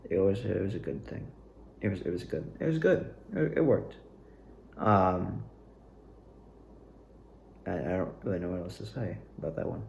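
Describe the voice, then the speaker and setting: A man's voice: low voice, lively intonation, moderate pace, creaky, pauses often, a little mellow, slight background noise. A young man reflecting casually and unscripted to his viewers, rambling hesitantly and often trailing off. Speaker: amateur actor and video maker. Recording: echoey room.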